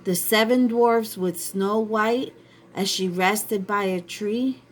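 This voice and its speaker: A woman's voice: medium pitch, slow. A woman reading a story aloud to children, addressing her young listeners directly like a teacher.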